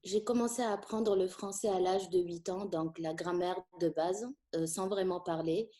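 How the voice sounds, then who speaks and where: Soft-toned Tunisian-born woman teacher. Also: fairly low pitch, steady tone, normal speed. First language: Arabic. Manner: thoughtful, hesitant with frequent fillers. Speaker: French teacher. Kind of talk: conversational interview.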